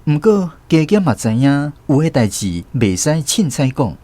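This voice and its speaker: A man's voice: on the high side, big pitch swings, moderate pace, dark tone. A man playing a grown storyteller reflecting on his boyhood, narrating a radio drama with a Taiwanese accent. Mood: reflective.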